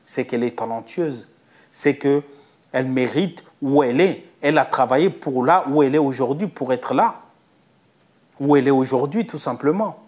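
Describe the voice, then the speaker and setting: Man, fairly high voice, opinion monologue, insistent, repetitive, rhetorical questions. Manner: insistent, repeats himself, many restarts and rhetorical questions. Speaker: commentator.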